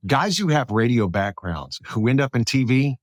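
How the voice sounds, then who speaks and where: Middle-aged male radio-style host from South Alabama, lively pitch movement, casual and anecdotal, dry recording. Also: normal speed, dry recording. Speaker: podcast host, radio broadcaster. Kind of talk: casual conversational interview.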